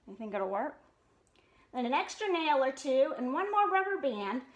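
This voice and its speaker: A woman's voice: high-pitched, sing-song, normal speed, muffled recording. A woman hosting storytime, reading a children's storybook aloud expressively and adding casual asides. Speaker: storytime host.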